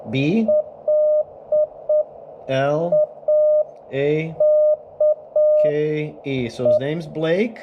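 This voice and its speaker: A man's voice: on the high side, extremely slow, long pauses, lo-fi sound. Canadian man narrating a ham radio contact. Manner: relaxed, thinking aloud with fillers. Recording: loud radio noise and sidetone behind.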